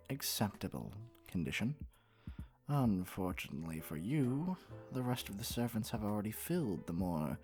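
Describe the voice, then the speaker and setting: A man voicing a haughty, domineering lamia noble in a fantasy roleplay, with a pompous, drawn-out, patronizing manner. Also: lowish voice, darkish tone, breathy edge, full character voice. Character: haughty male lamia noble, wealthy retired merchant, condescending and domineering. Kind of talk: scripted ASMR fantasy roleplay.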